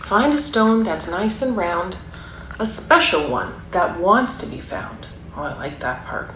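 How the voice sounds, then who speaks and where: A woman's voice: lowish voice, very animated, a little slow, a bit bright. A woman reading a picture book aloud for young children, with encouraging, chatty asides and questions to her listeners. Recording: roomy echo, clear background noise.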